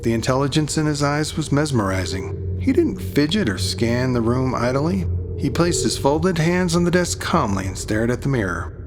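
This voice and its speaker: A man playing a serious, somber police detective, narrating a crime audio drama in the first person with measured delivery. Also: mid-range voice, expressive, natural voice. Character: somber male police detective recounting a serial killer case. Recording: music playing under the voice. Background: music.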